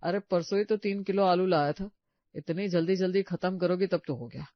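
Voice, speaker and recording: High-pitched stingy husband played by a man. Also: brisk, no echo, lo-fi sound. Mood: indignant. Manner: scolding, astonished complaining. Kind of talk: animated comedy story dialogue.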